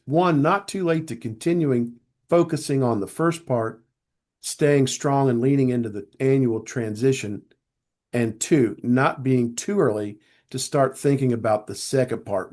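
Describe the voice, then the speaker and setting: A man hosting an online webinar, speaking in a measured, formal, deliberate way over a video call mic, his voice a little strained as he loses it. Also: mid-range voice, a little mellow. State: losing his voice. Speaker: webinar host. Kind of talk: scripted webinar introduction.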